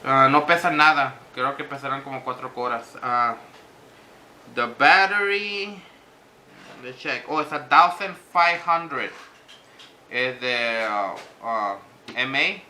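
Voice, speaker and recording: A man's voice: mid-range voice, a little slow, bright, forceful tone. A man giving a casual unboxing review to viewers, speaking hesitantly and slipping between two languages. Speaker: vlogger. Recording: echoey room with faint background noise.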